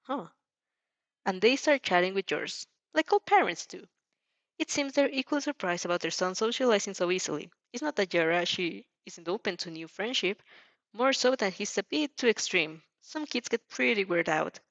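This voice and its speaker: A woman's voice: medium pitch, lively intonation, moderate pace, fairly bright tone, no echo. A woman reading a fan fiction story aloud with casual asides, giggly and often stumbling over her words.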